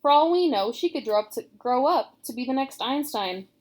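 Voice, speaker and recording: A woman's voice: high-pitched, animated, normal speed. A woman reading aloud, voicing a thoughtful, caring young girl who speaks earnestly. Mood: persuasive.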